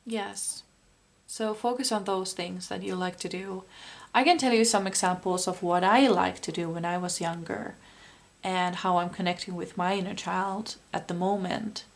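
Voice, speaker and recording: A woman's voice: fairly low pitch, steady tone, normal speed, vocal fry. A woman losing her voice, giving a chatty, meandering pick-a-card tarot reading straight to the viewer. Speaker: tarot reader.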